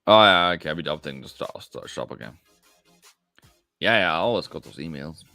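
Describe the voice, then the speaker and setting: A low-voiced man with a gravelly edge hosts a live trading stream. He talks in a lively, up-and-down way and chats casually with viewers, calling them mate. Faint music plays behind him. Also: fairly quick, no room sound. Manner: casual, chatty, answers viewers directly, calls them mate. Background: faint background music.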